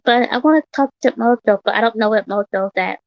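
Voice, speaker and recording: A woman in her thirties, a little hoarse from yelling, talking bluntly and repetitively on a casual livestream. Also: a little high, a little fast, no echo, lo-fi sound. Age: 30s. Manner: repetitive, blunt, swearing, rambling.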